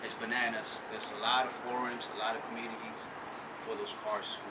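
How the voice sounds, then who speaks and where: A man from Ecuador casually describing his motorbike in an interview, relaxed and saying "bro" often. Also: medium pitch, a little fast. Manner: relaxed, says "bro" a lot, frequent restarts. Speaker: interviewee, vehicle owner. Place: outdoors by vehicles. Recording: distant from mic, loud background noise.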